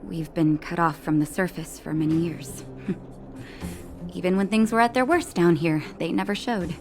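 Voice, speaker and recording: An actress playing a calm, caring woman doctor in an English video game dub, measured and soothing with a gently wry edge. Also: lowish voice, soft background music. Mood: reassuring, wry.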